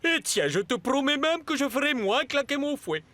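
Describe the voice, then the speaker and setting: A man's voice: extremely high pitch, big pitch swings, moderate pace, pushed and bright, dry recording, heavily acted. A man voicing a blustering, mocking adult lion tamer in a French cartoon, with a theatrical, exasperated manner. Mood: pleading, exasperated. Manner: theatrical, exasperated, hesitating when pleading.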